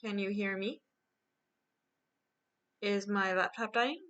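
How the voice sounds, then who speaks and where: A woman's voice: mid-range voice, slow pace, fairly bright tone, clear, resonant tone, highs cut off. A woman chatting casually and tentatively in a group stream. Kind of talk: casual chat.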